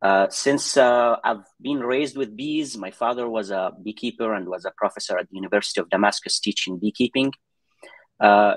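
Hesitant low-voiced man from Syria, dry sound. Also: no echo, poor audio. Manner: hesitant, lots of uh and um, restarts. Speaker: guest scientist. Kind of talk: interview answers. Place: home room with bookshelves, indoors. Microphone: video call.

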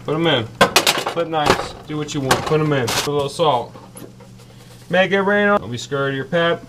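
A man's voice: on the high side, moderate pace, very noisy. A young man in his twenties, brash and boastful, hosting a comedic cooking show from a small kitchen. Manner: brash, boastful, loud whoops.

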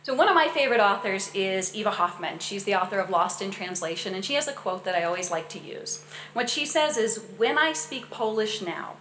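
A woman's voice: medium pitch, a bit bright. Casting: middle-aged woman, friendly lecturer at a podium mic, roomy hall, faint hiss. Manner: friendly, measured speaking with fillers. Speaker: education researcher and presenter. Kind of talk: public lecture. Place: wood-panelled lecture hall. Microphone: podium microphone.

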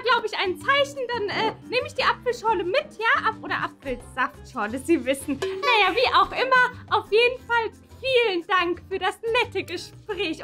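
Gushing esoteric teacher candidate, extremely high voice, bright, airy, rambling, stretched words, comedy sketch. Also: female voice, full character voice. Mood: breezy, cheerful. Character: gushing esoteric female applicant for teaching job. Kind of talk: improvised comedy sketch acting.

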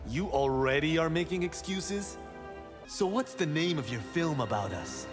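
An actor playing a boastful young warrior monk, earnest and competitive, in fighting video game banter. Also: male voice, a little high, expressive, a little slow. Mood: eager, confident. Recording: game music behind.

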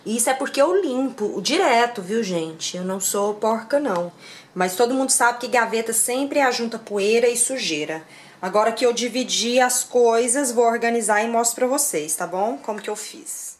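A woman's voice: a little high, lively intonation, normal speed. A woman in her thirties with a Brazilian Portuguese accent, chatting to viewers in a casual home vlog while she cleans her kitchen, recorded on a handheld camera. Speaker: vlogger. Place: home kitchen, indoors, medium-sized. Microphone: handheld camera, moved around the room.

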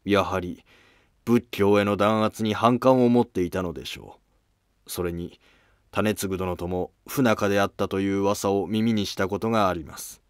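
Guy as a dutiful court minister, polite formal reporting, lowish voice, period drama. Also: fairly even intonation, normal speed, smooth, dry recording, studio quality, close to an everyday voice. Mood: deferential. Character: deferential male court minister, measured and dutiful. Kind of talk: history drama acting.

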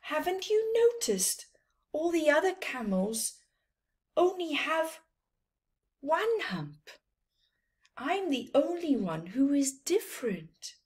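A woman voicing a self-conscious, easily flustered male camel in a children's story, halting and plaintive. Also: high voice, very animated, darkish tone, pitched above the performer's own voice, exaggerated voice. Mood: sad.

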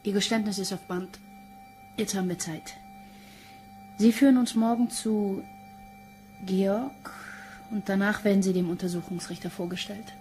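An actress playing a stern police inspector in a crime radio play, curt and cool in manner. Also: female voice, medium pitch, steady tone, normal speed, long pauses, mellow, soft background music, close to an everyday voice. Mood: stern, accusing. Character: stern, dry female police detective inspector.

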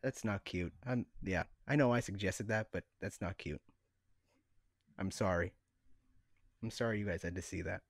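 A man's voice: sits low, normal speed, long pauses, dry recording. Dry-sounding man in casual podcast chat. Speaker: podcast co-host.

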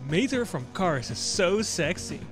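A man's voice: high-pitched, sing-song, unhurried. A man reading out short viewer questions in a scripted style for a question-and-answer show. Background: music under the voice.

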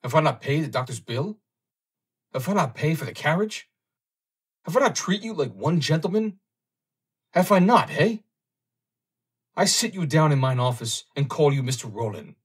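A man's voice: fairly high pitch, sing-song, moderate pace, pauses often, slightly rough, pitched above the performer's own voice. A man reading an audiobook, voicing a frantic middle-aged male underwriter with a German accent and broken English. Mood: frantic pleading.

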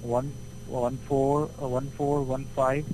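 A man working as a technical support agent who speaks at a middle pitch, formal and politely repetitive. There is a faint hiss behind his voice on the call. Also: little pitch movement, takes it slowly, dark tone, poor audio. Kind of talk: customer service call.

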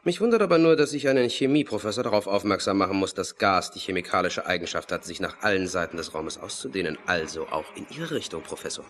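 A man's voice: low voice, lively intonation, fast, hardly any pauses, a bit bright. An actor playing a cool, wry male action hero in an audio drama. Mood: dry, challenging. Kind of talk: German audio drama acting.

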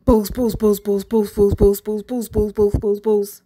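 A woman's voice: medium pitch, little pitch movement, moderate pace, mellow, soft background music, close to an everyday voice. A woman voicing a ball-obsessed male schoolteacher who bellows and chants frantically. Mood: obsessive. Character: ball-crazed shouting male teacher.

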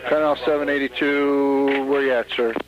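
Fast-talking male air traffic controller on radio. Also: mid-range voice, little pitch movement, over music. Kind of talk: radio clearances, clipped procedural phraseology. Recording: noisy narrowband radio channel with static clicks.

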